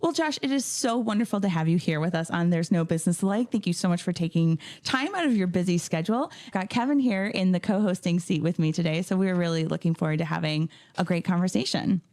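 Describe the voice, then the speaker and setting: A woman's voice: fairly low pitch, quick pace, hardly any pauses, a little mellow. A woman hosting a podcast, welcoming her guest and opening the interview. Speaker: podcast host.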